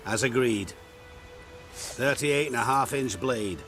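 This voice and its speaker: A seasoned middle-aged swordsmith in a fantasy game, proud of his trade. He talks plainly and precisely at an average pitch, with soft music behind him. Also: slow. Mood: businesslike, proud. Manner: precise, businesslike. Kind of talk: video game cutscene acting.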